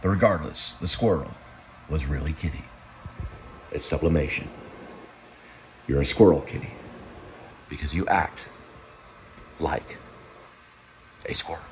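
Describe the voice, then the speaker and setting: A man with a very low-pitched voice hosts in a loose, rambling monologue. He speaks haltingly but with emphasis, often repeating himself. A steady layer of noise sits behind his voice. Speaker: show host.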